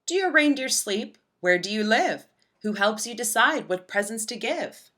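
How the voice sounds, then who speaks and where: A woman's voice: on the high side, animated, normal speed, sharp tone. A woman voicing an earnest, inquisitive child detective in a storybook, asking eager, probing questions.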